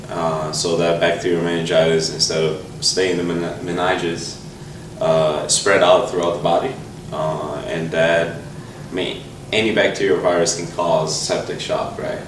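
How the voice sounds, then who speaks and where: A young man from Brazil, calmly and thoughtfully telling his own story as an interview guest. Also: deep voice, normal speed. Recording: distant, roomy with background noise.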